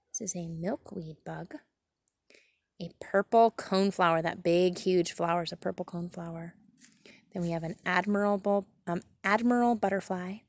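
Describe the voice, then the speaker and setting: A woman's voice: fairly low pitch, a little mellow, dry recording. A woman reading a picture book aloud like a teacher, adding simple, chatty explanations for children outdoors in a garden.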